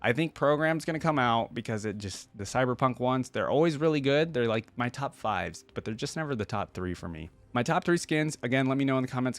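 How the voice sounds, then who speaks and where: A man hosting a reaction video, giving casual, chatty commentary and thinking aloud in an informal way. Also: mid-range voice.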